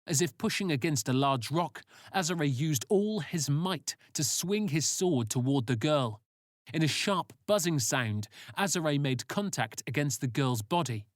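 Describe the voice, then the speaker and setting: A storyteller reading scripted fiction in audiobook style. The middle-pitched voice moves with lively intonation, and the recording is dry with little room sound. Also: measured pace, no room sound. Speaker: author narrating own story.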